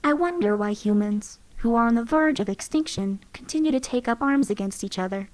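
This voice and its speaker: A woman voicing a sardonic female game companion with dark, deadpan humor. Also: a little high, brisk, dry recording, close to an everyday voice. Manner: deadpan, mocking delivery.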